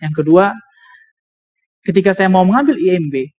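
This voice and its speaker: A man with an Indonesian accent preaching a sermon in a deliberate, explanatory way, repeating key phrases for emphasis. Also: sits high, animated, a little mellow. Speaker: preacher, also a doctor. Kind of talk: sermon with personal anecdotes and scripture reading.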